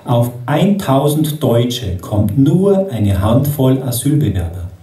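A man's voice narrates in a grave, deliberate tone, unhurried and dark-timbred. It carries through a theatre hall, boomy and distant, as if caught by a camera far back in the seats. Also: big pitch swings. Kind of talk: solemn scripted narration over a projected film. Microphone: audience camera far from the stage.

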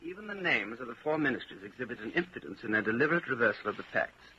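An intense, articulate dissident with a bright voice that moves widely in pitch. It is old radio drama, on a dull recording with faint hiss. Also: male voice, sing-song, racing. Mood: intent. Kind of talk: 1940s radio acting.

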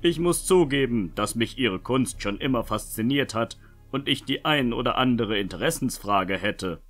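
A man's voice: medium pitch, animated, moderate pace, a bit bright, faint music behind. A man acting a polite, composed young nobleman with formal, diplomatic speech in a fantasy audio drama. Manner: courteous, smooth-talking, formal. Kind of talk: fan-made German fantasy audio drama.

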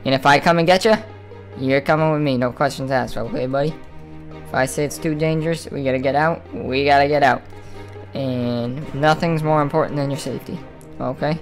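A man's voice: medium pitch, fairly even intonation, brisk, soft background music, natural voice. Man playing an informal, commanding kraken villain dad. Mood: commanding, protective. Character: villainous kraken father commanding minions, fiercely protective of his baby. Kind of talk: improvised Minecraft roleplay.

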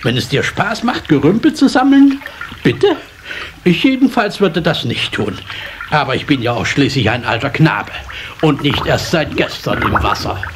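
An actor playing a grumpy, know-it-all old carp who scolds and blubbers theatrically in a children's radio play. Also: male voice, a little high, sing-song, normal speed, fairly bright tone. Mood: scolding. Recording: slightly noisy old cassette transfer.

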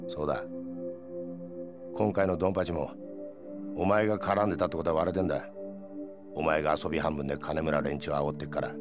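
Hard-nosed middle-aged cop, very deep pitch, menacing, blunt, video game drama, music behind. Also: male voice, fairly even intonation, a little fast, pauses often. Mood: scolding. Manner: rough, blunt, intimidating. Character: gruff, menacing middle-aged male police detective. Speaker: police detective.

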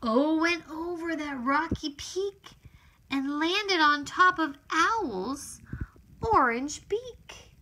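A woman reads a children's storybook aloud at a very slow, deliberate pace. Her voice is high and bright, and she performs the text expressively for small children, with exclamations and a sing-song delivery. There is faint noise behind her. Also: lively intonation. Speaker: author reading own picture book.

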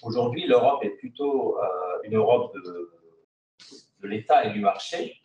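A German-born professor in his forties, his low voice picked up from across an echoey meeting room. He talks in a measured, hesitant way, with steady background noise. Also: muffled recording. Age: 40s. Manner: measured, hesitant with frequent "euh". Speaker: professor of political science. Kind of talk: academic talk at a round table. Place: meeting room with tables in a U shape. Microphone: far room camera. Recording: echoey room, distant mic, noisy.

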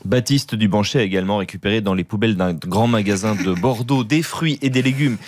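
A man's voice: fairly low pitch, fairly quick, a little mellow, no echo. A man in his thirties delivering a scripted radio report as a news reporter, close to a studio microphone.

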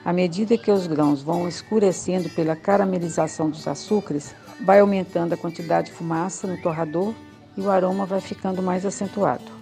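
A woman's voice: fairly low pitch, fairly even intonation, fairly quick, warm tone, soft background music. A woman explaining a task to viewers in a plain, instructive way while working. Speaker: coffee processing instructor. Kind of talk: demonstration talk.

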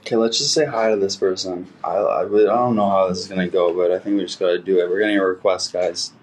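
A man's voice: sits low, fairly even intonation, brisk, a little mellow. A young man from Canada hosting a casual livestream, chatting with viewers into a phone held at arm's length in a small room. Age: young adult. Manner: chatty, self-talking, upbeat with viewers. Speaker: livestream host.

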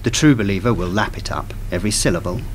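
Actor as a low-voiced, cold, manipulative man. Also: slight background noise, natural voice. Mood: sardonic, calculating. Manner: sardonic, measured, calculating. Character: cold, manipulative male intelligence handler. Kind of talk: radio play dialogue.

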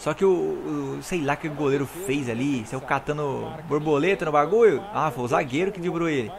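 A young Brazilian man, hoarse from recording, giving excited, slangy commentary over his own football video game match and talking straight to his viewers. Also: on the high side, brisk, a crowd in the background. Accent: Brazilian Portuguese. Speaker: gaming YouTuber. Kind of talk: live gameplay commentary.

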